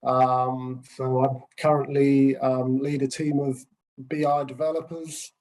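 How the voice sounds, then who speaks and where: A man's voice: mid-range voice, slow, slight background noise, poor audio. A man introducing himself to an online class over a video call, hedging often with "sort of". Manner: flat, says "sort of" often. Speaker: course participant, team lead.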